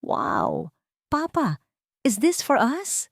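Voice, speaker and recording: Eager young boy for an audio story, played by a woman, Filipino accent, very animated, dry sound. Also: high-pitched, very slow, gravelly, no echo, voice pushed up, exaggerated voice. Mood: excited. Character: excited small boy, eager and adoring.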